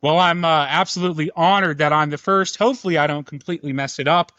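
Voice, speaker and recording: A man's voice: a little high, fast talker, sharp tone, no echo, muffled recording. A man hosting a podcast interview, speaking with enthusiasm and asking eager questions. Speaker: podcast host.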